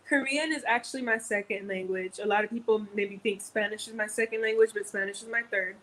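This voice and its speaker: A woman's voice: mid-range voice, fairly even intonation, fairly quick, a bit bright. American woman, casual, over noisy audio. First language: English. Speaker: live stream guest. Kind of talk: casual chat. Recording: clear background noise on livestream audio.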